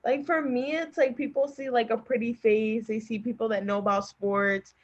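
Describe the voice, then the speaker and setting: A woman's voice: on the high side, fairly even intonation, moderate pace, dull audio. A woman chatting casually and earnestly as an encouraging podcast guest. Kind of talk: casual chat. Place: remote group call.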